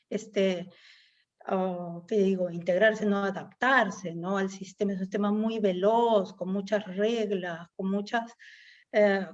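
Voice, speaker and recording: A woman's voice: medium pitch, a little mellow, lo-fi sound. A middle-aged woman from Peru with a Peruvian Spanish accent, speaking as a guest poet in a reflective interview, often ending thoughts with "¿no?". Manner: reflective, with frequent "¿no?" tags and "este" fillers. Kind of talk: conversational interview.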